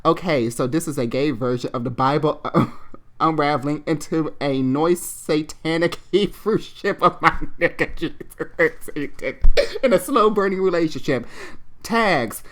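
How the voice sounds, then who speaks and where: A man's voice: fairly high pitch, moderate pace, a bit bright. Casual, irreverent man reading aloud. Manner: slangy, irreverent, giggly. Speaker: online video host and fan fiction reader. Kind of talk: casual dramatic reading with off-the-cuff commentary.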